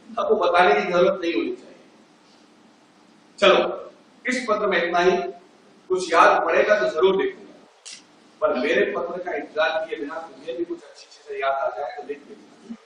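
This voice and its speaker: A man's voice: sits high, very animated, normal speed, pauses often, a bit bright, a little hiss or hum. A man on stage reading out a nostalgic, joking letter to an old college friend in an auditorium. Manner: nostalgic, jokey letter-writing tone. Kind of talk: stage play monologue. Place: large auditorium. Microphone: unamplified stage, far from the recorder. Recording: echoey hall from far off.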